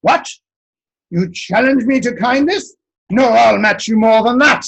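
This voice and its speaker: A man storyteller voicing a boastful male giant who speaks in slow, loud challenges. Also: extremely high pitch, lively intonation, gravelly, slightly rough, muffled recording, voice pushed up, full character voice.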